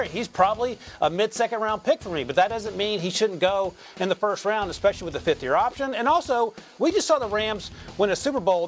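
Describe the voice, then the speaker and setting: A man breaking down draft picks in a studio sports show, energetic with wry asides. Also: sits high. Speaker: draft analyst.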